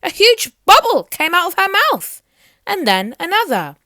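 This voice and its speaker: A woman reading a children's picture book aloud as an expressive storyteller. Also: extremely high pitch, a little slow, no room sound.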